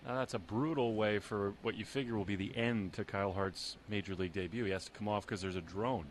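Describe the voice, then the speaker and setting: A man's voice: on the low side, brisk, slight background noise. A man giving live baseball commentary on the radio. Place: ballpark broadcast booth.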